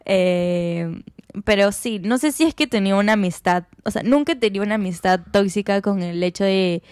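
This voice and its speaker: A woman's voice: mid-range voice, smooth, dry recording. Chatty woman, dry close mic. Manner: chatty, storytelling with quick asides. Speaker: podcast co-host. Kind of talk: casual chat.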